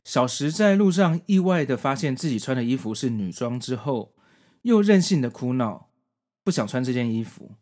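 A man's voice: medium pitch, lively intonation, normal speed, darkish tone. A man hosting a podcast, narrating and commenting on a story from prepared notes in an explanatory way. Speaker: podcast host.

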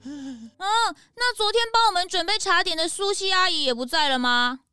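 A woman's voice: extremely high pitch, lively intonation, normal speed, sharp tone, dry recording, studio quality, exaggerated voice. A woman voicing a small, curious, blunt childlike sidekick to a detective in a children's audio story.

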